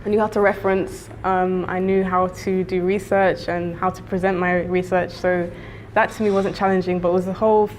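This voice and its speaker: A woman in her early twenties giving relaxed, matter-of-fact interview answers. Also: fairly low pitch, normal speed, a little hiss or hum. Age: 20s. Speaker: student interviewee.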